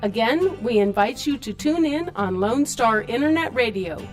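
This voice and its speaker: A woman's voice: a little high, lively intonation, normal speed. An American woman, a city official, reading a formal scripted radio announcement. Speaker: city animal control officer. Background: music bed.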